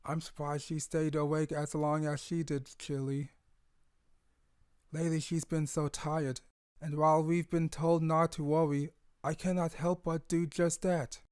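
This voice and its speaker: A man's voice: on the high side, flat delivery, unhurried, a little mellow, clean tone, no echo, clean studio sound, lightly acted. Man playing a tender, anxious young stallion. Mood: tender worry. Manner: earnest, tender, formal phrasing. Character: devoted, anxious young male pony, soft-hearted expectant father.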